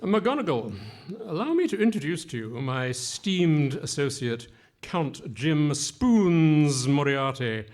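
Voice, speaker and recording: A man's voice: on the high side, sing-song, slow pace, a little mellow. A man playing a pompous, formal and nonsensical official in a comic radio play before a live audience.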